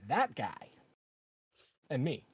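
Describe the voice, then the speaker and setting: A man's voice: on the high side, wildly swooping pitch, takes it slowly, fairly bright tone, husky, dry recording. A man playing a tabletop roleplaying game, speaking in character in a blunt, colloquial, casual way.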